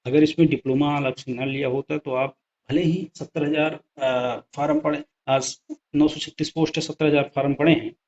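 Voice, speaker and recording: A man's voice: fairly high pitch, steady tone, moderate pace, darkish tone. A man giving a conversational explanatory lesson over slides, speaking directly to viewers and repeating points for emphasis. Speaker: exam-prep presenter. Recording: dull lo-fi sound.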